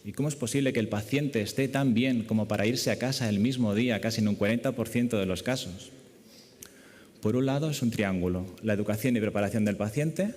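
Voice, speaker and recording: Male surgeon from Spain, conference speaker, lowish relaxed voice, lecture-hall echo. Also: brisk. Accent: Spanish from Spain. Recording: echoey lecture hall, long reverb.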